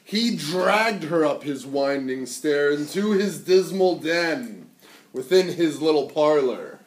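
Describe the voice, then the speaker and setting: A man reading a storybook aloud to children in a dramatic, theatrical and deliberate way. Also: on the high side, animated. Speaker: story reader. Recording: echoey, noisy room, distant mic.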